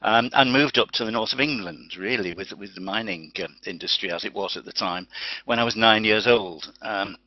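A man's voice: fairly low pitch, moderate pace, no room sound. Older Welsh man giving a webinar talk. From Wales. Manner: chatty, anecdotal, with frequent restarts. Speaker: guest speaker. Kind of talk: webinar presentation. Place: home study, indoors. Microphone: webcam on computer. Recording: dull lo-fi webinar audio.

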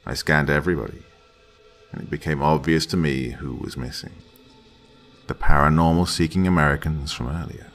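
A man with a deep, gravelly voice reading a horror story aloud in a slow, dramatic way, dropping to hushed whispers at tense moments. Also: faint music behind. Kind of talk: scripted horror narration.

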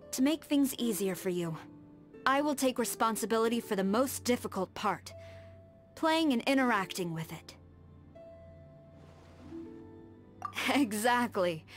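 An actress playing a stern, proud tengu warrior woman in a video game, with composed, firm and measured formal speech. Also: a little high. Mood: composed, earnest. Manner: formal, measured, precise diction. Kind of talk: scripted video game character dialogue. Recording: studio voice over background music.

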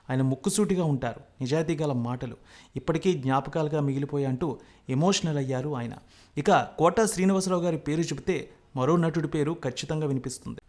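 A man's voice: fairly high pitch, a little mellow. A man presenting scripted news narration in a formal, emphatic delivery.

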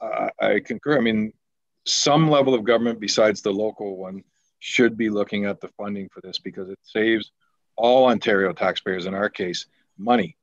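A man's voice: fairly low pitch, moderate pace, dry recording. Earnest Canadian man on a video call. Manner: rambling, repeats words, earnest. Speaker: deputy mayor at a council meeting. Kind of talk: council meeting remarks. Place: home office on a video call. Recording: slightly dull, dry video call audio.

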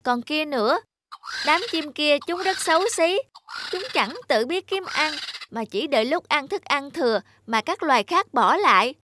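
Woman as a high-voiced, eager little tree sprite. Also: lively intonation, normal speed, noisy background. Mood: earnest, concerned. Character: earnest, naive young tree sprite, eager and tender-hearted. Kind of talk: cartoon character acting.

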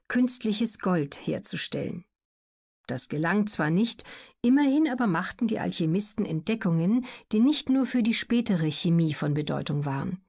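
A woman narrates an educational audiobook with a mid-pitched voice. She reads scripted documentary text in a measured manner, enunciating each word clearly. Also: a little mellow.

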